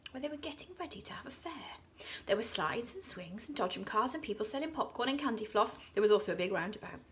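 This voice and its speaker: An English woman, an infant school teacher, reading a children's story aloud, dropping into hushed whispers for suspense. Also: fairly high pitch.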